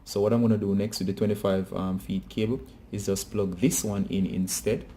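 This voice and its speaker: A man's voice: fairly low pitch, steady tone, brisk, dark tone, a little hiss or hum. A man presenting a casual hands-on how-to tutorial, talking directly to viewers.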